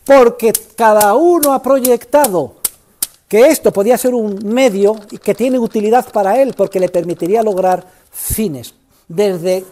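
Older professor, high-pitched, theatrical lecture voice in a big classroom. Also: male voice, a little slow. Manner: theatrical, emphatic, swings from shouting to low asides. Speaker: economics professor. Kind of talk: university lecture. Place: large university classroom.

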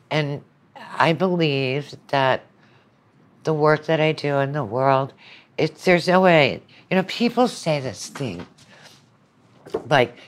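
An older woman talking reflectively as an interview guest, earnest, opinionated and emphatic. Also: deep voice. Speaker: podcast guest, university lecturer. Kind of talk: reflective conversational interview talk.

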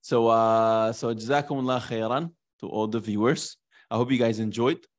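A man's voice: mid-range voice, moderate pace. A man hosting a video podcast interview, speaking in a friendly way and encouraging viewers, using Islamic phrases, into a studio microphone. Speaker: host. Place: bright indoor room. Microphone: studio microphone and headphones.

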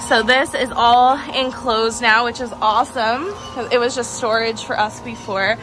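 A woman's voice: a little high, fairly even intonation, unhurried, background noise. A woman chatting upbeat while filming a home vlog in her backyard on a phone. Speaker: vlogger. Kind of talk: casual home vlog narration. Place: outdoors in a backyard. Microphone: handheld phone camera. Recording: background music bed.

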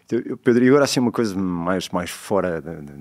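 A middle-aged man with a lowish voice hosts a studio interview at a quick clip. He asks brisk questions into a boom mic and fills the pauses with quick agreements. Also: expressive, darkish tone, dry recording. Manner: brisk questions with many short backchannels. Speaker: host. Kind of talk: casual interview chat. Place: small studio. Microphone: boom-mounted studio mic.

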